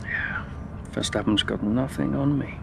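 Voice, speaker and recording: An actor playing an aging, proud and weary racing driver in a naturalistic video game cutscene. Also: male voice, medium pitch, a little slow, gravelly, noisy background. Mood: subdued, wry. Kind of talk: naturalistic cinematic game acting.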